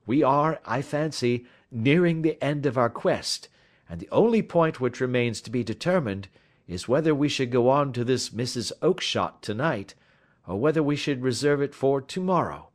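A man voicing a shrewd, urbane gentleman detective, polite, precise and blandly self-assured, in a storybook reading. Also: mid-range voice, lively intonation, normal speed, pitched above the performer's own voice, natural voice. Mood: amused, confident.

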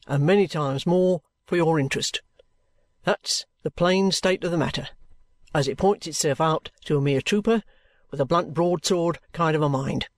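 A plain-spoken old-fashioned trooper acted by a man in a storybook reading. His voice sits a little high for a man and is recorded dry and close, with steady, formal courtesy and stubborn resignation. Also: no room sound, natural voice. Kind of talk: dramatized classic novel reading.

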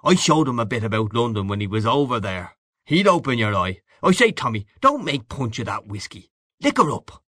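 A man's voice: mid-range voice, very animated, normal speed, pushed and bright, no room sound, studio quality. A man voicing a brash, worldly Irishman in an audiobook, boastful and hearty, patronising an old friend with emphatic delivery. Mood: hearty, pressing. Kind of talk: literary audiobook character dialogue.

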